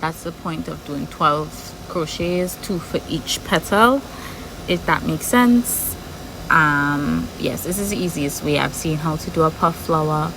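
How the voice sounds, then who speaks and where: A woman with a Trinidadian accent and a low, deep voice guides a crochet project one stitch at a time, repeating each step as she works. Steady background noise, like an air conditioner, hums under her voice throughout. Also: a little slow. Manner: patient, repeats steps while working. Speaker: crochet tutorial host. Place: home room with background noise.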